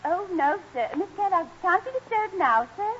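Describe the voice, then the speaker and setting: A polite, flustered English maid in an old radio drama. Her voice is very high and swoops about, on a muffled recording. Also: expressive, moderate pace, fairly bright tone, a little hiss or hum. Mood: flustered, deferential. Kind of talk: old-time American radio acting.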